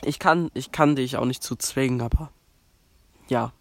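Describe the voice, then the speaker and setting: A man's voice: mid-range voice, normal speed, dry recording. A young man from Germany with a cold, hosting a podcast in a rambling casual monologue, full of fillers and restarts. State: has a cold. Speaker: podcast host.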